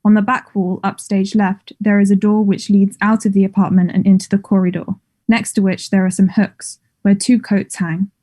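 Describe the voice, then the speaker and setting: A woman's voice: medium pitch, little pitch movement, moderate pace, warm tone. A woman reading stage directions as scripted narration at a table read of a play.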